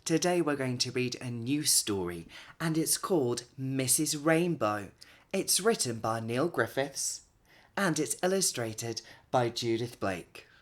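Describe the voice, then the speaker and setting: A man's voice: mid-range voice, expressive, unhurried. A man, reading a picture book aloud expressively for young children. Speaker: children's storyteller and host.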